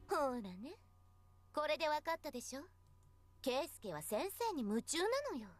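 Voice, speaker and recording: A woman's voice: sits high, very animated, fast talker, soft background music. A woman playing a scornful, taunting teenage schoolgirl in a Japanese visual novel game. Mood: scornful, mocking.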